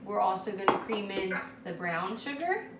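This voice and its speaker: A woman's voice: medium pitch, expressive, moderate pace. A woman in her thirties, a mother casually guiding young children through steps with encouraging check-in questions. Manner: encouraging, step-by-step directing with frequent check-in questions. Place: home kitchen or dining room, indoors. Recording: echoey room with clear background noise.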